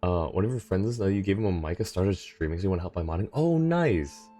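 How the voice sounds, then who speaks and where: Deep-voiced man live-streaming a game. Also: lively intonation, fast, mellow. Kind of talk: casual live-stream commentary. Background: video game music.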